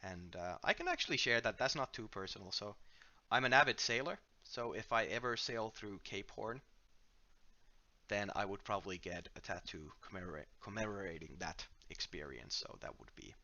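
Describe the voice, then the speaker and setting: A fairly low-voiced man with a Finnish accent chats casually through a headset while hosting a game livestream. His tone is bright and forward, and he is relaxed and self-deprecating, with plenty of fillers and restarts. The headset sound is a little dull. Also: normal speed. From Finland. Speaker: livestream host from the game company. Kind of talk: casual livestream chat while playing and reading viewer questions.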